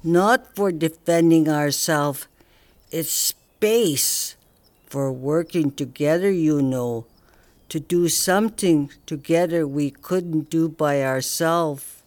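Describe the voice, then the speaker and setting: An actor playing an older Northern Cree man, a patient elder who teaches in a slow, gentle way. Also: a little high, no room sound. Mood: earnest. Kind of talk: audio drama acting.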